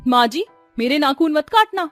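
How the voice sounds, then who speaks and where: A woman's voice: high voice, lively intonation, moderate pace, dry recording, voice pushed up. A woman voicing a vain, whiny, insolent young daughter-in-law in a cartoon story, with theatrical, defiant delivery. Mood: pleading. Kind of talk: Hindi cartoon story character acting. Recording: music under the voice.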